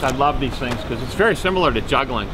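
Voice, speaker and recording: A man's voice: medium pitch, lively intonation, quick pace, background noise. A middle-aged man chatting casually and playfully as a vlog host, talking to a camera held at arm's length. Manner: playful, joking. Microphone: action camera at arm's length.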